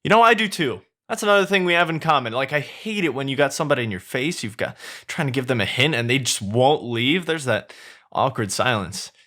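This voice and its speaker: Talking donkey, male, pushy and chatty, pitched well up, bright and loud, read by a man. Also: lively intonation, a little fast, close to an everyday voice. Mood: gushing. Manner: rambling, eager, pleading.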